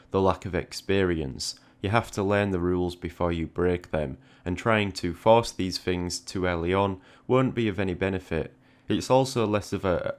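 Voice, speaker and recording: A man giving reflective, conversational advice as an art channel host, often repeating words as he thinks. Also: low-pitched.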